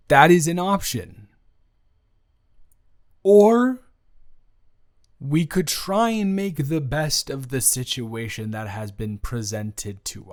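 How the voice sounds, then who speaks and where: Awkward, teasing ex-boyfriend played by a man, slow delivery, medium pitch, stammering, for intimate audio roleplay. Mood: wry, tentative. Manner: halting, stammering, with long pauses. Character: awkward, earnest, teasing young ex-boyfriend trying to reconcile. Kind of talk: improvised romantic audio roleplay, addressed to the listener.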